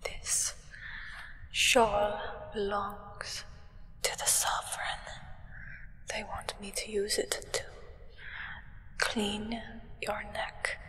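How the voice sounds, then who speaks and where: A woman playing a solemn, devoted human acolyte of a vampire sovereign in an ASMR roleplay, speaking in a formal, reverent, breathy whisper. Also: medium pitch, completely flat delivery, sharp tone, in a large hall, low-quality recording. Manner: slow, measured, reverent, formal.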